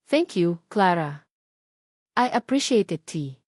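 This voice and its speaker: A woman voicing a young wealthy groom, earnest and deeply moved as he speaks. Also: big pitch swings, takes it slowly, a little mellow, dry recording, studio quality, exaggerated voice. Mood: polite. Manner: earnest, emotional vows.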